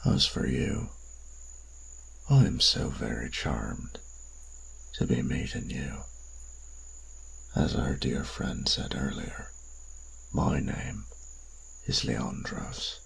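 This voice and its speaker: A man playing a suave, menacing old vampire in an audio drama, silky and formal, with a breathy, gravelly voice. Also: extremely low pitch, very animated, a bit bright, exaggerated voice, rough recording. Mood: charming, courtly. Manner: silky, formal, theatrically unhurried. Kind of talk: scripted audio roleplay acting.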